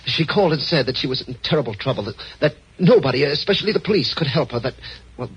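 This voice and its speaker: A man acting a worried, quiet insurance broker in a 1940s radio drama, with a tremulous voice and nervous stammering. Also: mid-range voice, expressive, quick pace, husky. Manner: nervous, stammering, repeats words. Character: anxious, quiet male insurance broker, a fretful groom-to-be. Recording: noisy old-time radio recording.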